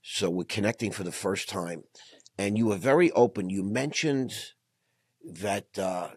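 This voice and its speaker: A man's voice: on the low side, measured pace, slightly rough, dry recording, studio quality. A man hosting a podcast interview, enthusiastic and effusive, often repeating words as he talks. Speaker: podcast host. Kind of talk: conversational interview.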